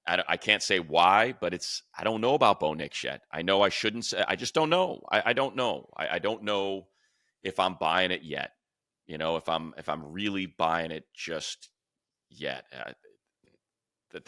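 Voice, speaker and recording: A man's voice: sits low, sharp tone, dry recording, clean studio sound. An American man hosting a casual sports talk, emphatic and thinking aloud as he goes. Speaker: podcast host, sports play-by-play announcer.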